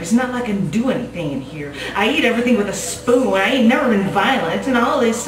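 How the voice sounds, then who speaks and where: A woman's voice: medium pitch, close to an everyday voice. Confined, unhinged Southern woman played by a female stage reader, colloquial, repetitive and defensive; echoey live room with music underneath. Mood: paranoid, resentful. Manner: rambling, halting, colloquial, repetitive. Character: confined woman inmate acting crazy, rambling, defensive, paranoid. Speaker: performer reading a monologue. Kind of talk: live dramatic monologue reading. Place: small gallery cabaret stage. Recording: roomy distant live recording with music.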